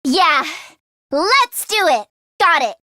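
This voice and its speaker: Very high-pitched nervous teenage game girl. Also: slow pace, no echo, studio quality, heavily acted. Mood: determined. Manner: expressive, casual youthful phrasing. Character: teenage girl, nervous, queasy, handy academy fighter. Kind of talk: English video game voice acting.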